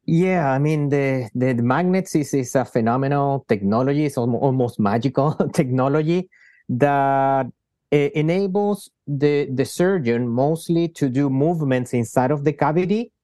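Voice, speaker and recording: Man, smooth dark voice, many restarts. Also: medium pitch, no room sound. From Chile. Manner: frequent restarts and repeated words. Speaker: guest surgeon and company founder. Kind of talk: interview answers.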